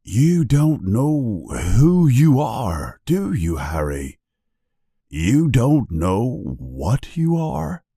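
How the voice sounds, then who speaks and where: Man doing a big storybook giant, hot-tempered but kindly, emphatic, slow delivery, lively pitch swings. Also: no echo, studio quality. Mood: sad, disbelieving. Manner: emphatic, exclaiming.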